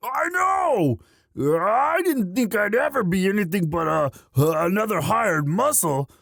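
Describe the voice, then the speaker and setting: Man playing a gravelly, sweetly halting young orc. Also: high-pitched, very animated, a bit bright, no echo, studio quality, heavily acted. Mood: excited, sheepish. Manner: halting, stammering, bashful earnest delivery. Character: young male orc, gentle earnest himbo sweetheart. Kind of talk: intimate romantic roleplay audio drama.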